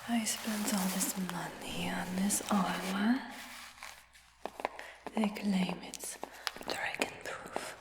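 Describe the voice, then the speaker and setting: Brief: woman as curious dragon hunter, ASMR roleplay, slow hushed whisper, echoey room. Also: mid-range voice, steady tone, gravelly, heavy background noise. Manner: soft unvoiced whisper. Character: curious human dragon hunter who captured a dragon. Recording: roomy whisper with long reverb.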